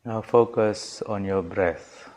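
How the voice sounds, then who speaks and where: A man with a low voice guides a meditation as a swami. His delivery is very slow and even, with little rise or fall in pitch. He phrases each instruction calmly and deliberately. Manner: calm, measured, deliberate phrasing.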